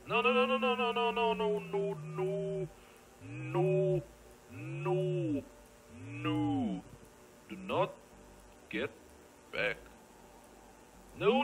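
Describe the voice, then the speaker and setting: A man records casual game commentary in a bright, very creaky voice. He mutters and repeats phrases at an unhurried pace, exclaiming as he plays. There is slight noise on the home recording. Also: a little high, low-quality recording. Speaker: gamer recording a let's play.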